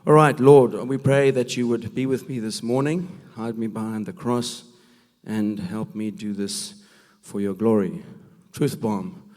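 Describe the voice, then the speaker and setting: A man with a rough, gravelly voice from a cold, giving a preaching talk at a men's conference, emphatic and full of rhetorical questions. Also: medium pitch, darkish tone. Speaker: conference speaker. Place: church hall. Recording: long reverb of a large hall.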